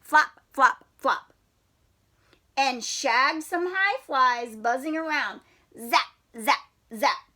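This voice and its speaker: A woman reading a children's picture book aloud like a teacher, expressive with drawn-out words and playful questions to her young listeners. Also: high voice. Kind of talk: animated storybook read-aloud with questions to children.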